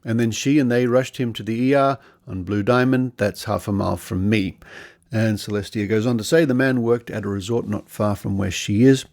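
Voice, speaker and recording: A man presents a podcast in a low voice with plenty of gravelly fry. He comments on news items and reads out quotes, dropping in conversational asides as he goes. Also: normal speed, a little mellow. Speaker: podcast host.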